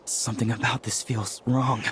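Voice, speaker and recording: A woman's voice: a bass voice, fairly even intonation, moderate pace, background noise. A woman voicing an anxious young accomplice in a video game, hesitant and worried. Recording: music behind the voice. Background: music.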